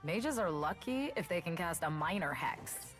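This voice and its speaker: A scornful rebel bird-woman warrior from a video game. Her low voice delivers every line with dry, sarcastic deadpan, with music underneath. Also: normal speed. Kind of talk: video game champion voice lines.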